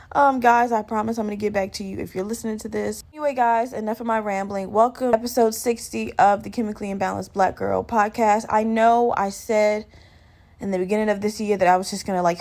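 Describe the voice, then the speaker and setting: A woman hosting a casual solo podcast, chatting in a rambling, candid, self-deprecating way with a slightly gravelly, just-woken-up voice. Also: mid-range voice, a little fast, darkish tone. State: just woke up. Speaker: podcast host.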